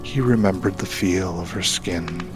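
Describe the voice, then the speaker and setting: A man narrating a dramatic scripted story in a measured, solemn way, with phrases sometimes falling hushed and breathy. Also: low voice. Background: background music.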